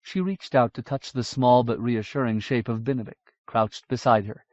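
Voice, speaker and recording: A man reading a fantasy novel aloud in a measured, even storytelling manner. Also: medium pitch, no echo. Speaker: audiobook narrator. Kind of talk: scripted fantasy narration. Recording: dull dry audiobook recording.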